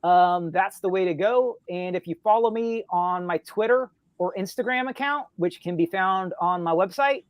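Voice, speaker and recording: Man, high squeaky voice, guest author, casual podcast talk, dry close sound. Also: normal speed, few pauses, no room sound.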